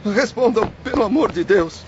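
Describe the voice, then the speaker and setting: A man's voice: sits high, lively intonation, moderate pace, noisy background. A man playing the distraught, indignant spirit of a dead merchant father in an audiobook drama, pleading and melodramatic. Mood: desperate pleading. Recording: dull lo-fi audio under loud music.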